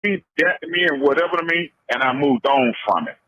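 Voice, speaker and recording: A man's voice: a little high, expressive, a little fast, bright, forceful tone. A man talking casually on a phone call, emphatic and often repeating his phrases. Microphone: telephone. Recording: dull, lo-fi jail phone call.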